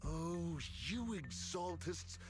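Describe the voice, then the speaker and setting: An actor playing a brash, impatient villain boss in a video game, domineering and exasperated, with game music behind him. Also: male voice, a little high, very slow. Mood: sarcastic, irritated.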